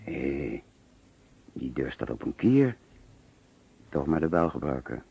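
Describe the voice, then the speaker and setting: A man's voice: a bass voice, sing-song, slow, gravelly, mellow, slightly rough, exaggerated voice. An actor with a Netherlands Dutch accent playing an anxious, hesitant businessman who narrates and mutters to himself in a radio play. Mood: puzzled. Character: anxious middle-class businessman recounting finding a murdered man. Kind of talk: radio play acting with first-person narration. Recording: muffled, noisy old radio play recording.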